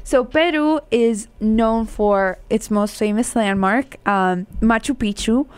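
A woman's voice: on the high side, takes it slowly, smooth, no room sound. A woman co-hosting a cultural program, presenting facts in Spanish and English in an upbeat manner. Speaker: co-host. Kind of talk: bilingual presenting.

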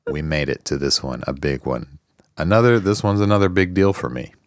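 A man co-hosting a podcast in casual chat, his voice hoarse and raspy from a recent illness, tossing in dry joking asides. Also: very deep voice, lively intonation, fairly quick, no echo. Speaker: podcast co-host.

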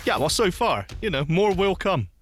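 A man's voice: sits high, big pitch swings, moderate pace, faint music behind. A man co-hosting a podcast, trading teasing quips in casual banter. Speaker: podcast co-host.

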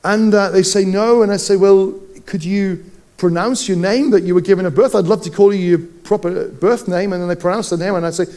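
A man's voice: sits high, fairly even intonation, brisk, dark tone. A middle-aged Welsh man preaching a sermon, telling personal stories with playful asides. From Wales. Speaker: pastor.

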